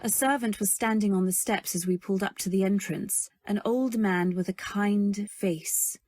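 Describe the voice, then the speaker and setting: A woman playing a dreamy, naive young newlywed, narrating in measured phrases in an old-time radio drama style. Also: mid-range voice, fairly even intonation, a little mellow, close to an everyday voice. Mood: wistful, dreamy. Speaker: narrator and lead. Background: faint music.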